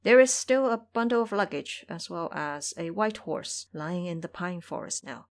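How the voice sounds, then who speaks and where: A woman's voice: fairly low pitch, lively intonation, normal speed. Woman as meek male monk, audio drama captive, courteous, formal, deferential, pleading.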